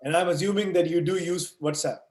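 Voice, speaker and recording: A man's voice: a little high, steady tone, brisk, a little mellow. Man teaching over an echoey call. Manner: explains with everyday analogies, frequent "right?" and "you know". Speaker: online course instructor answering questions. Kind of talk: live online Q&A session. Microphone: video call. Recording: echoey room.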